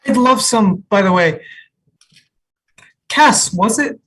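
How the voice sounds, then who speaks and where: An actress playing an easygoing, wry job applicant in a sitcom table read. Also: female voice, medium pitch, lively intonation, takes it slowly, a little hiss or hum. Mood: upbeat. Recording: distant home microphone.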